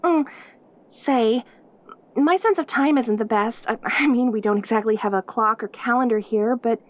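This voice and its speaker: A woman's voice: a little high, moderate pace, natural voice. A woman acting a lonely, clingy caged demon girl in an audio roleplay, perky and cutesy, gushing and stammering at the listener. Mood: shy, hesitant.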